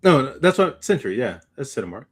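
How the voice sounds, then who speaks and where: A man co-hosting a podcast in casual chat, with a halting, meandering, slangy way of talking. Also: medium pitch, big pitch swings, slightly rough. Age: adult, parent of young child. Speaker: podcast co-host.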